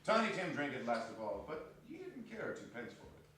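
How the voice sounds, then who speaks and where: A man's voice: on the high side, huge pitch swings, a little fast, a bit bright. A man narrating a literary story as a dramatic reading. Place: hall of arts center. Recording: echoey hall, distant mic, faint noise.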